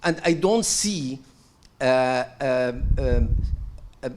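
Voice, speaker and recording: A man's voice: mid-range voice, animated, very slow, darkish tone, slight background noise. Hesitant middle-aged man asking a question. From Syria. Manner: hesitant, many fillers and restarts, earnest. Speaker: audience member asking a question. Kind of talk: halting question from the floor.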